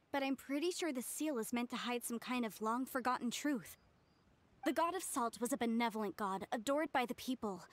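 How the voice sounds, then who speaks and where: An actress playing an earnest young researcher of ancient ruins in a video game, explaining things. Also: female voice, fairly high pitch, close to an everyday voice. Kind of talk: English video game voice acting.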